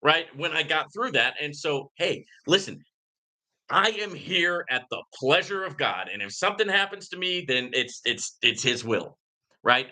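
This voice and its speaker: A man's voice: on the high side, bright, forceful tone. A man hosting a casual live Q and A, talking in an outspoken, emphatic way and often checking in with "right?". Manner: outspoken, emphatic, repeatedly seeking agreement with "right?". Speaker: livestream host answering viewer comments.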